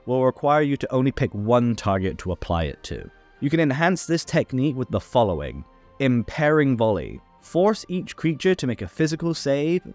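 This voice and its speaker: A man's voice: medium pitch, a little mellow. A man hosting a tabletop game tutorial, reading a scripted rules explainer.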